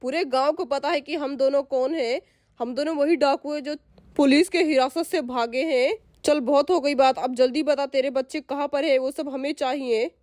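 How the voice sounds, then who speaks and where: एक महिला एक बंदूकधारी पुरुष डाकू की आवाज़ निकालती है, भारी और धमकी भरे, चिढ़ाने वाले अंदाज़ में।. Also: female voice, high-pitched, steady tone, moderate pace, a little mellow, no echo, clean studio sound, close to an everyday voice. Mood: menacing. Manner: gruff, threatening, mocking. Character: menacing male dacoit kidnapper with a gun.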